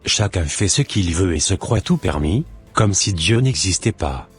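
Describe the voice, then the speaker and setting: Man doing a cartoon doctor, middle-aged, preachy, deep, husky, soft background music. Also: measured pace, heavily acted. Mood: lecturing. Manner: calm, lecturing and preachy. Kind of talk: amateur cartoon voice acting.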